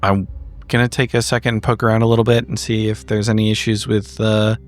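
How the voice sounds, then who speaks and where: A man's voice: on the low side, little pitch movement, a little fast. A man playing a tabletop roleplaying game, talking in character in a dry, understated, hesitant way.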